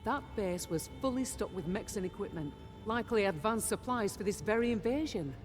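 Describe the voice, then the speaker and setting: An actor playing a skeptical, cautious mercenary in a video game, questioning and wary. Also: male voice, high-pitched, expressive, brisk. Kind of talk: dramatic video game acting. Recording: long reverb, music underneath.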